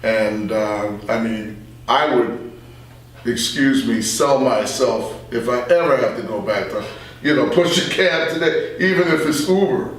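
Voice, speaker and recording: An older man telling stories conversationally as an interview guest, in a rambling, unhurried way. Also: mid-range voice. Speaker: interview guest, radio veteran. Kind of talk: conversational reminiscing. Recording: very echoey room, distant microphone.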